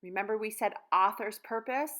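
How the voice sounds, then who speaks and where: A woman's voice: medium pitch, normal speed, a bit bright. A woman in her thirties giving a read-aloud lesson to children like a teacher, encouraging them with simple, direct questions. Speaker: elementary school teacher. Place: room at home.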